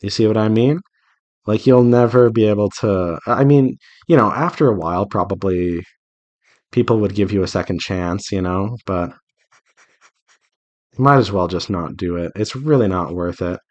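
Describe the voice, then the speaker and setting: A man's voice: on the low side, normal speed, dull audio. A man talking casually and earnestly in a rambling, advice-giving way, like an artist chatting while working. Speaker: visual novel developer and artist hosting a drawing devlog.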